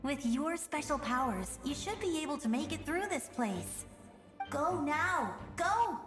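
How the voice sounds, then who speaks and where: A woman's voice: high-pitched, expressive, measured pace. A woman playing a spirited, caring young big-sister healer in a video game, earnest and encouraging. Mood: urging. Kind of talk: animated video game voice acting. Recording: echoey reverberant hall, game music behind.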